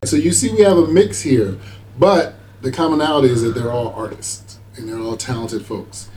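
A man's voice: mid-range voice, moderate pace, mellow, slight background noise. A middle-aged man hosting a conversational interview, encouraging and echoing back what his guests say. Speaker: talk show host, school superintendent.

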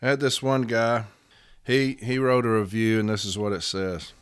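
Middle-aged man, podcast host, hoarse from yelling, blunt rambling talk, smooth low voice. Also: flat delivery, normal speed, no room sound, clean studio sound. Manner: rambling, blunt, frequent fillers. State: hoarse, voice worn out. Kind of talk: casual monologue podcast.

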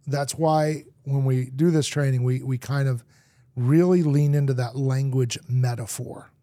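A man who grew up in Argentina, a podcast guest telling lively stories in conversation. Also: medium pitch, a little slow, no room sound, studio quality. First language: English. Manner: lively storyteller acting out anecdotes. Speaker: guest, marketing executive.

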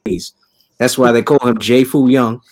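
A man's voice: medium pitch, flat delivery, normal speed. Joking man hosting a podcast. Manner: joking, teasing, laughs often. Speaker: podcast host. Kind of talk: casual podcast chat.

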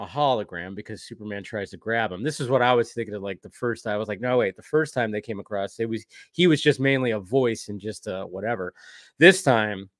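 A man talks through an episode recap in a loose, casual way. His voice sits at an average pitch, recorded close and dry with no room sound. He rambles, leaning on "like" and "you know" as he goes. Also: fairly bright tone, no echo. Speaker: co-host of a cartoon episode review stream.

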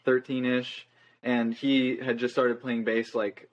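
A man's voice: medium pitch, flat delivery, slow pace, dull audio, slight background noise. A nineteen-year-old man, a band guest, telling stories in a loose, rambling way full of "like" and "um". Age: young adult. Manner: rambling, loose, many fillers. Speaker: guest musician. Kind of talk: casual interview chat.

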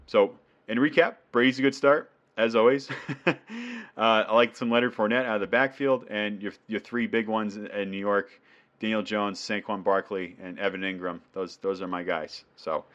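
A man's voice: lowish voice, steady tone, moderate pace, a bit bright, lo-fi sound. A man with a New England accent co-hosting a casual sports podcast, chatty and laughing often. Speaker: podcast co-host.

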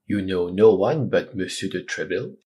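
A man's voice: low voice, expressive, moderate pace, warm tone. A man reading the part of a proud, wounded noble swordsman, in a formal and courtly manner. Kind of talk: audiobook character reading.

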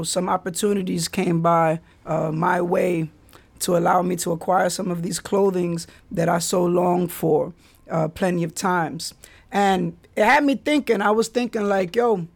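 A man hosting a podcast, telling stories in a laid-back way with street slang. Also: high voice. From the Bronx, New York. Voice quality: raspy. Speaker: podcast host. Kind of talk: casual solo podcast storytelling.

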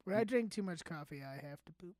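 Man, high voice, podcast co-host, playful banter, dry close sound. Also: lively intonation, a little mellow, dry recording. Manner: joking, quick banter, playful asides. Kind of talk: casual chat.

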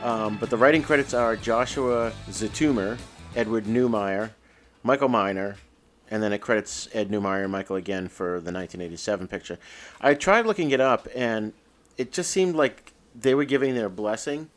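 A man's voice: on the low side, lively intonation, normal speed, faint music behind. A man hosting a casual film review podcast, reading credits with joking asides. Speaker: podcast host.

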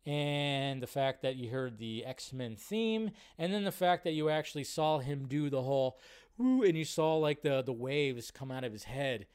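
A man's voice: medium pitch, normal speed, darkish tone, clear, resonant tone. A man hosting a live movie fan stream, chatting informally and ramblingly as he reads and answers viewer comments.

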